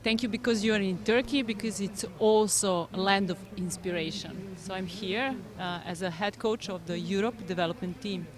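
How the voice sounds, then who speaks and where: A woman with a Serbian accent speaking in earnest, slightly halting English as a coach being interviewed at a race. Her pitch sits in a normal middle range. She is recorded outdoors at the course. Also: fairly even intonation, crowd noise. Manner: earnest, non-native phrasing with fillers. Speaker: head coach of a development team. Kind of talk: live interview.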